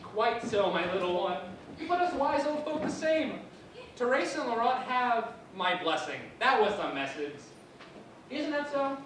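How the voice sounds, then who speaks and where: A woman's voice: a little high, very animated, a little slow. An actress playing a fond and solemn elderly woman who speaks formally. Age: older. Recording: very echoey, distant mic, background noise.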